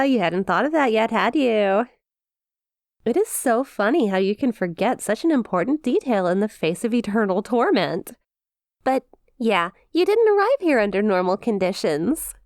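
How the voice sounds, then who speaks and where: A woman's voice: mid-range voice, no room sound, clean studio sound. A woman playing a perky, smug demon imp in an ASMR roleplay, teasing with playful mock sympathy and a possessive streak. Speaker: audio roleplay performer.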